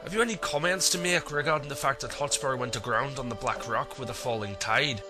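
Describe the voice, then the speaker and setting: A man plays a naval officer in an audio drama, speaking formally and directly. The pitch is average for a man. Music plays clearly behind him. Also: a little fast, natural voice. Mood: stern, official.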